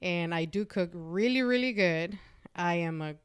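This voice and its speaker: Smooth, lowish-voiced frank woman from Mexico. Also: normal speed. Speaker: podcast guest. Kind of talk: casual, candid chat.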